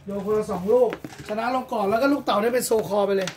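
Thai shop-owner host, rapid coarse ribbing, chatty, faint shop noise. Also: male voice, high-pitched, darkish tone. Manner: teasing, coarse joking. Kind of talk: casual banter. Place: comic and card shop, indoors. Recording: noisy shop background.

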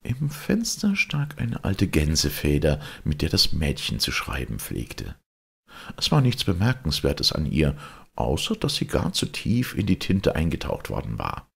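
Deep, gravelly male storyteller. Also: wildly swooping pitch, a little slow. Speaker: storyteller, audiobook reader. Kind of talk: expressive fairy-tale narration.

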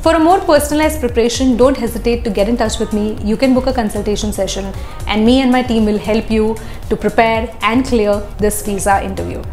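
A young woman with a fairly high voice explains interview tips in a clear, instructive way. She speaks into a lapel mic in an echoey office with glass walls. Faint music plays underneath. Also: a little fast, darkish tone. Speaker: visa interview coach. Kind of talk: advice video to camera.

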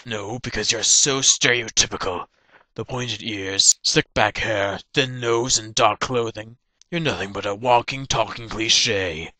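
A man voicing a smug, sardonic vampire gunslinger in a deadpan parody cartoon style. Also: lowish voice, unhurried, no room sound, poor audio. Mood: mocking. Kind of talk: fan-dub parody cartoon acting.

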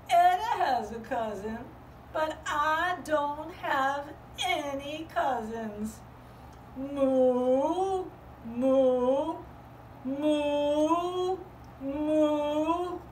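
A wistful little cow played by a woman talks in a slow, plaintive drawl, mooing softly between her sad words. Her voice sits a little lower than the performer's own, yet still fairly high. It is picked up in a roomy space from some distance away, with a faint hum behind it. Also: heavily acted. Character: lonely young cow toy, wistful.

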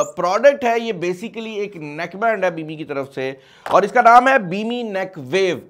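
Male tech video host, 20s, high voice, Pakistani accent, emphatic and chatty, slips English into a casual review. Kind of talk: casual product review mixing in English phrases. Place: small indoor studio at a desk.

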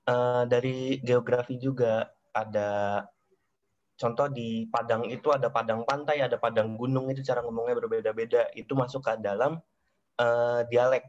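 A young man from Java explains a language topic on a video call, halting and restarting as he searches for words. His pitch is ordinary. The sound is dull, like lo-fi call audio. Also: steady tone, a little mellow, faint music behind. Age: young adult. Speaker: student presenter.

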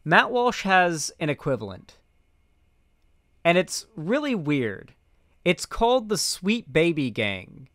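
A man's voice: a little high, very animated, takes it slowly, a bit bright, no echo. An American man in his twenties from Florida giving casual, opinionated commentary into a close microphone, conversational and blunt. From central West Florida, American South. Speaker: political commentary streamer. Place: small home streaming room, indoors. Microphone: close microphone on boom arm, headphones on.

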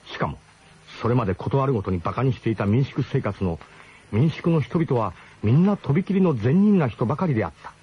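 Male narrator for a radio drama: colloquial, wry, lively pitch, on a slightly hissy old broadcast. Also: a little fast, lo-fi sound. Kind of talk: dramatic first-person storytelling in a radio drama.

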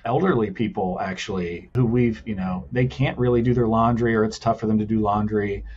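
A man's voice: lowish voice, steady tone, brisk, darkish tone, muffled recording, a little hiss or hum. A man who owns laundromats, explaining his business in a casual interview, earnest and step by step, often adding "like" and "right?". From United States. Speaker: laundromat owner guest.

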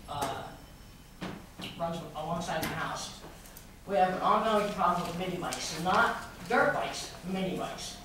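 A woman making a public complaint at a city council meeting, with many restarts, growing more heated. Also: on the low side. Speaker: resident speaking at public comment. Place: large wood-paneled council chamber. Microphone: podium mic recorded by a far camera. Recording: echoey chamber from far off, noisy.

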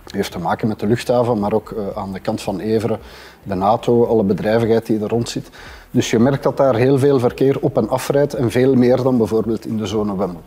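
A man's voice: on the low side, fairly quick, mellow, slightly rough. A man in his forties with a Flemish accent, explaining things as an expert in conversation. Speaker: expert panelist. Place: indoor café-style room, at a table.

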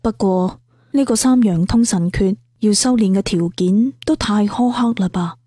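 A woman's voice: medium pitch, moderate pace, mellow, husky, no room sound, clean studio sound. A woman with a Cantonese accent reading out an audio story.

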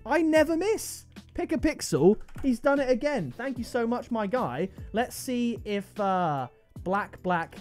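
A man, commenting on game item trades at his desk, excitable and jumping to high-pitched disbelief. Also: unhurried, soft background music. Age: 20s. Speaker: video game trading streamer. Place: gaming room indoors.

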